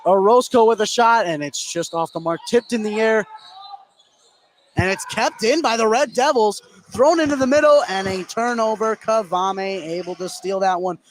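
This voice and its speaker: Higher-voiced male basketball play-by-play announcer, noisy gym. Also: moderate pace. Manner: running narration, rising with the action. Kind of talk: live radio sports commentary.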